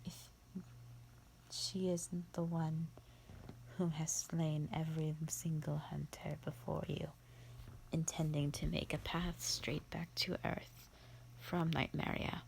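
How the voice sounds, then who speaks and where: A woman voicing a bored, contemptuous female vampire in an audio roleplay, with a breathy, creaky voice and a disdainful, formal drawl. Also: sits low, flat delivery, slow, a little mellow, slight background noise, full character voice, poor audio. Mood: bored, haughty. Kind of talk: scripted roleplay monologue for the listener.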